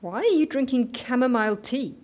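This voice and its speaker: A woman's voice: high-pitched, expressive, fairly quick, darkish tone. A woman acting an anxious, sceptical office colleague in an audio drama, speaking in an earnest, challenging way. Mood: worried.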